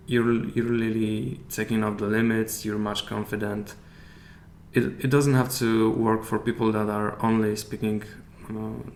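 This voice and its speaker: A man with a Polish accent talks through his answers as a guest, pausing to hunt for the right English word. His voice sits low and stays flat and even. The room is echoey, with a faint hiss underneath. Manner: hesitant, often searching for English words. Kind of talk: casual interview chat.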